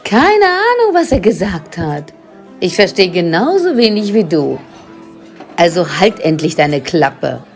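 A woman plays a bossy old witch in a comic audio drama. She scolds and snaps impatiently, with a very lively pitch that rises as she nags. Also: a little slow, faint music behind, full character voice. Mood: irritated.

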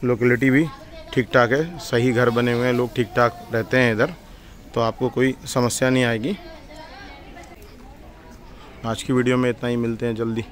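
Man pitching a house in a flat voice. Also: fairly quick. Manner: plain conversational sales pitch with hesitation fillers. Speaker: property dealer. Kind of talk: real estate listing vlog. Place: indoor office with decor shelves, then outdoors walking through a lane.

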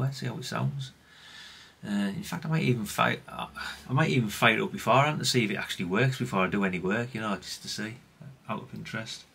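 A man's voice: on the low side, gravelly, a bit bright, a little hiss or hum. A middle-aged British man giving casual, unscripted workbench commentary in a rambling, chatty, plain-spoken way. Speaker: hobbyist radio repair vlogger.

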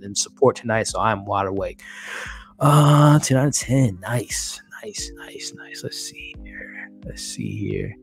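A man in his thirties hosting a casual live stream, chatty and upbeat, laughing often and thanking viewers, close to a desk mic in a small room. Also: lowish voice. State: losing his voice. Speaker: livestream host. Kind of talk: casual live-stream chat reading comments. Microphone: studio mic on desk, close.

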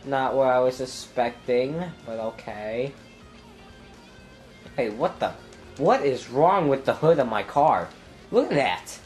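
A young man with a stuffy nose and a clogged throat, commenting casually on his own racing game and thinking aloud with ums and sudden loud exclamations. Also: medium pitch, expressive, slow pace, long pauses, soft background music. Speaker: gameplay commentator. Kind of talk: casual let's-play commentary.

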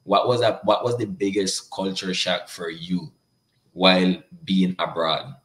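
A young man from Nicaragua interviewing a guest over a video call, relaxed and friendly. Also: low-pitched, a little slow. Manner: relaxed, friendly questions addressed to "bro". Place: indoor room.

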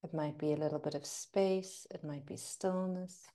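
A woman leading a guided breathing meditation as a teacher, speaking gently and soothingly with lingering pauses. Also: low voice, fairly even intonation.

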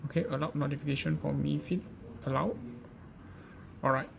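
A man's voice: a little high, fairly even intonation, brisk, crowd noise. A man giving an informal step-by-step gadget tutorial in a hesitant, repetitive way. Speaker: presenter of a gadget how-to guide. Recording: clearly noisy amateur recording.

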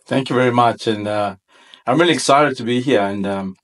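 Forties male guest from Sri Lanka; reflective, halting delivery on a studio boom mic. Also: fairly bright tone. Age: 40s. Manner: reflective, frequent ums and pauses. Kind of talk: podcast interview. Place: studio with podcast set. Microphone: boom mic on arm.

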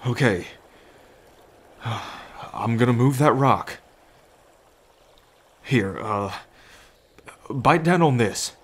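A man playing a gentle, anxious lone fisherman in an ASMR roleplay, with a halting, reassuring manner. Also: medium pitch, expressive, husky, exaggerated voice. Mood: gentle, focused.

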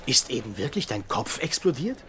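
An actor in a dubbed TV comedy playing a gruff, biting middle-aged doctor who mentors an intern. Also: male voice, mid-range voice, very animated, normal speed, heavy background noise, lightly acted. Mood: exasperated. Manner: biting, insulting, ranting. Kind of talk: German dubbed TV comedy acting.

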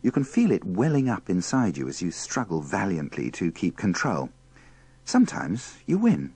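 Guy narrating a comedy show, dry and wry, husky low voice. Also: huge pitch swings, normal speed, darkish tone, no echo. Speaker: host. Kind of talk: scripted comic narration.

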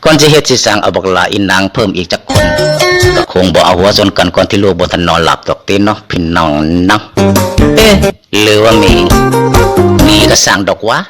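Deep, animated Isan male storyteller. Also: a little fast. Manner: folksy, often addressing listeners directly. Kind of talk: comic folk-tale narration in dialect. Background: music.

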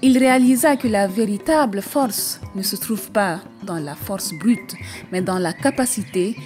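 A woman's voice: medium pitch, a little slow, darkish tone. Woman narrating a folktale over music. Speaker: storyteller, narrator. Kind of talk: scripted narration of an African folktale.

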